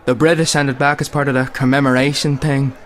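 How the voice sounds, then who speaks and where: A man's voice: medium pitch, level tone, fast talker, close to an everyday voice. A man playing an opinionated older Irishman chatting in a radio play, with an Irish accent. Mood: opinionated, wry. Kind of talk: conversational radio play acting.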